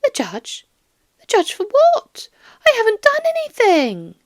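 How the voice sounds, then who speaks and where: A woman's voice: extremely high pitch, huge pitch swings, a little slow, dry recording, pitched above the performer's own voice, exaggerated voice. A woman voicing a flustered, doting older woman who works at a museum, in a rambling, plaintive and baffled manner, for an audiobook. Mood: baffled, protesting. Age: 60s.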